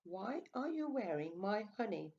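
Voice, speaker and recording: A good-natured young bear from a picture book, played by a woman, earnest and plaintive in his hunger. The words come slowly, on a slightly dull recording. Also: mid-range voice, very animated, a little mellow, voice pushed up. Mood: curious, eager. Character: hungry, good-natured young male bear who loves honey. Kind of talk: children's picture-book read-aloud.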